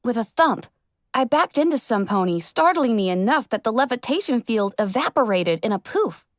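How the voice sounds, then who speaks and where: High, animated woman narrating a story. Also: normal speed, dry recording, studio quality. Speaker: audiobook narrator. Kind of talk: expressive first-person story narration.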